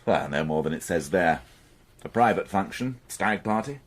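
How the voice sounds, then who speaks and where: Husky, sardonic police inspector. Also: male voice, deep voice, expressive, normal speed. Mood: dry, defensive. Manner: dry, sardonic. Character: weary, defensive male police inspector, sardonic. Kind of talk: radio crime drama acting.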